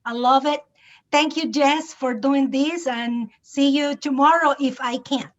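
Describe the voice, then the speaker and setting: Woman from Veracruz, Spanish first language, Mexican accent, halting and grateful, video call audio. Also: high voice, lo-fi sound. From Mexico, Veracruz coast. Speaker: conference guest. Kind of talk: casual chat on a video call.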